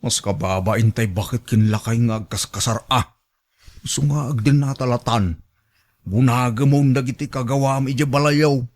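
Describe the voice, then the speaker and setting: A man plays an elderly Ilocano man in a radio drama. His voice sits at an average pitch, and he speaks bluntly, nagging and bossing like someone used to being served. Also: normal speed, darkish tone, close to an everyday voice. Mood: grumbling. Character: bossy elderly man, demanding partner.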